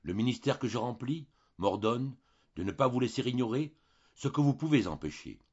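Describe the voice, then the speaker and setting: A severe, zealous priest, acted by a male audiobook reader, preaches and accuses with commanding force. The pitch swings widely and the voice is husky, in a slightly dull recording. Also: expressive, moderate pace, darkish tone. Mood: grave, solemn. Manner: vehement, moralizing, commanding, rhetorical. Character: stern, fanatical priest, wrathful and accusing.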